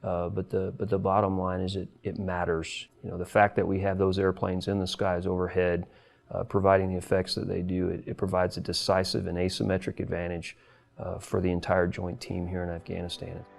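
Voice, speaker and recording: A middle-aged man, a military commander, speaking earnestly and haltingly in a documentary interview. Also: sits low, monotone, creaky. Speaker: air force wing commander and fighter pilot.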